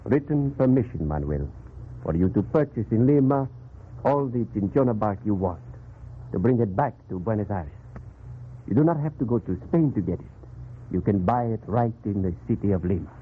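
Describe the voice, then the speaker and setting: A kindly, fatherly priest, played by a male radio actor with a low, measured voice. He speaks earnestly and declaims in 1940s drama style. The recording is thin and tinny, with faint noise. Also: animated, close to an everyday voice. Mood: patient, explanatory.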